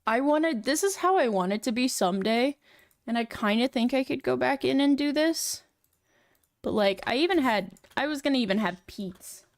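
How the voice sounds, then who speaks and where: A woman's voice: high voice, lively intonation, moderate pace. Young female streamer, feminine voice, casual rambling chat with many fillers. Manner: rambling, filler-heavy, chatty. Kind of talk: casual chat while working on a model.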